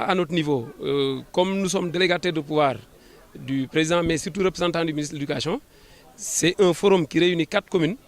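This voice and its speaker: A man with a Senegalese accent answering an interview as a government official, in formal administrative phrasing, often halting and repeating words. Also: a little high, creaky. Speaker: government official, sub-prefect.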